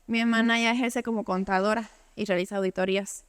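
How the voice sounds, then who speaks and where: A woman's voice: medium pitch, fairly even intonation, fast talker, smooth. A young woman from Cuba, talking casually as an interview guest and padding her speech with fillers. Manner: conversational, many fillers like "o sea" and "pues".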